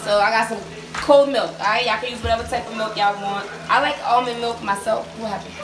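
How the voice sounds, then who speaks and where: A young woman filming a cooking video on her phone in her home kitchen, chatting to viewers in a relaxed, slangy way. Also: fairly high pitch, a little fast. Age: young adult. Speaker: cooking vlogger. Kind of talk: casual cooking demo. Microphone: handheld phone camera. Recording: sizzling pan noise behind. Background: oil frying and sizzling.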